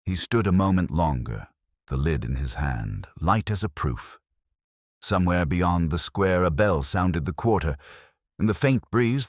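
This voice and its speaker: Male audiobook narrator for literary crime fiction; rumbling bass, animated phrasing, close dry recording. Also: no echo. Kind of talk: scripted literary mystery narration.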